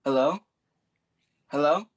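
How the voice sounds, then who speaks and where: An actor playing a cold, businesslike criminal go-between in a 1940s radio drama, giving measured instructions. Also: male voice, a little high. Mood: coldly businesslike.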